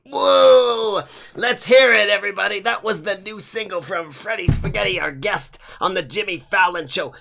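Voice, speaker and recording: Man playing a late-night talk show host, high voice, gravelly, bright, excitable, stumbles over words. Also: normal speed, soft background music, heavily acted. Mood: upbeat. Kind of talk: improvised comedy skit.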